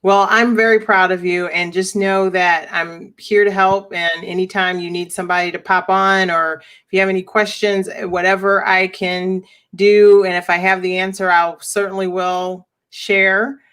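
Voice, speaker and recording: A woman's voice: mid-range voice, level tone, moderate pace, few pauses, fairly bright tone, smooth. A woman from the United States hosting a casual live-stream chat, encouraging and talkative, often repeating words.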